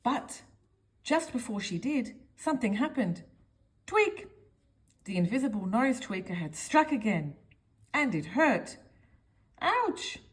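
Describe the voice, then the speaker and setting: Very animated woman storyteller. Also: fairly high pitch, measured pace, pauses often. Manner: expressive, addresses young listeners with questions. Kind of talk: children's picture book read-aloud.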